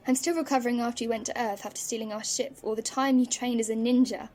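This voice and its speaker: A woman's voice: a little high, steady tone, fast, darkish tone, slight background noise, close to an everyday voice. A woman playing a curious, earnest young granddaughter, polite but outspoken, in a fan audio drama. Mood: earnest, puzzled. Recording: music underneath.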